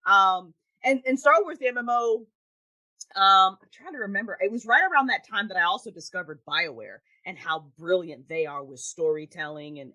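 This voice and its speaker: Animated woman, enthusiastic podcast guest. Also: fairly low pitch. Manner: animated, enthusiastic, gushing fan talk. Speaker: podcast guest, writer and audio drama creator. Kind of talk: casual interview chat.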